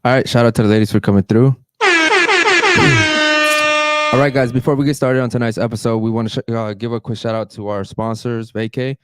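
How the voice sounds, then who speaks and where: A man hosting a casual podcast, giving shout-outs and interviewing guests. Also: mid-range voice, fairly even intonation, fairly quick, long pauses, clean tone. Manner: hypes the chat and sponsor, asks guests questions. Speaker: podcast host.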